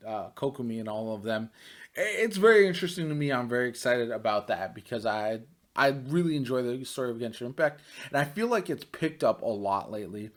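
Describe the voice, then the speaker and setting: A man's voice: mid-range voice, fairly bright tone. A man giving casual, off-the-cuff video game commentary in a loose, rambling way, as a gaming YouTuber.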